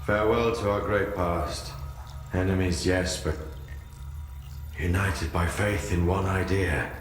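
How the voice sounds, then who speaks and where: Deep, slow, echoing solemn zealot. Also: male voice, steady tone. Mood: bitter, mournful. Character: solemn, embittered male zealot soldier mourning his lost faith. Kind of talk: solemn dramatic game monologue. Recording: heavy echo with music underneath.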